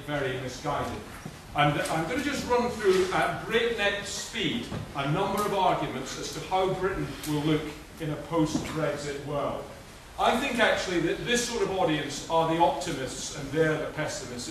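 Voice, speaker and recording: A middle-aged Scottish man giving a brisk, assured prepared speech at a conference lectern, with frequent filler restarts. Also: on the high side. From Scotland. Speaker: conference speaker. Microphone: lectern mic recorded by a far camera. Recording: echoey hall from far off, noisy.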